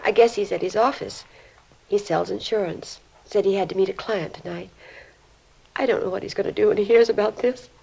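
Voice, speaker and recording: An actress playing a distraught, disbelieving middle-aged American mother in an old radio crime drama, with a breathy, fragile voice. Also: mid-range voice, brisk, warm tone, rough recording. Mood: dazed, sorrowful. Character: distraught, grieving mother of a teenage boy, disbelieving.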